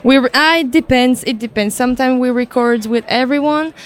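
A woman with a French accent and a slightly high voice answers radio interview questions in English. She pauses to search for words and often laughs softly. Her first language is French. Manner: hesitant, giggly, searching for words. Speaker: musician guest.

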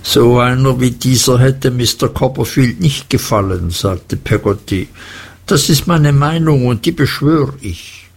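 Guy reading a stubborn housemaid, low and blunt, slow raspy voice. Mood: stern. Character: stiff, stubborn middle-aged housemaid.

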